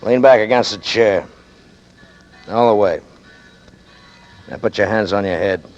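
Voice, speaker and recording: A man's voice: lowish voice, measured pace, soft background music, close to an everyday voice. An actor playing a cool gangster who holds a man at gunpoint, drawling mockingly friendly threats in a 1940s radio drama. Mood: menacing. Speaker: gunman. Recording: dull lo-fi old radio broadcast.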